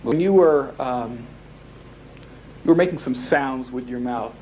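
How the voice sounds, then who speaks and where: Halting lawyer questioning a witness. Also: male voice, mid-range voice, slight background noise. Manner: halting, repeats words while he forms questions. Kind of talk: deposition questioning. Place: deposition room, indoors. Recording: dull lo-fi videotape recording.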